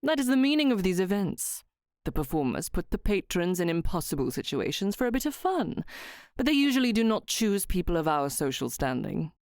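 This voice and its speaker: A woman's voice: lowish voice, big pitch swings, brisk, darkish tone, no echo, studio quality. A woman doing a breezy, self-assured young viscount.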